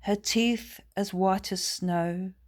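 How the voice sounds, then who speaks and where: A woman's voice: medium pitch, steady tone, slow, close to an everyday voice. A woman voicing an earnest, lovestruck schoolboy who speaks in an overly proper, formal way, in a children's story. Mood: dreamy, romantic. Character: earnest, lovestruck schoolboy playing the good pupil.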